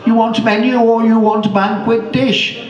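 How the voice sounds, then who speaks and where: A man voicing a small, loud, brisk Chinese restaurant waiter who asks insistently. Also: very high voice, level tone, muffled recording, pitched above the performer's own voice. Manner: fast, loud, insistent questioning. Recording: roomy echo, distant microphone.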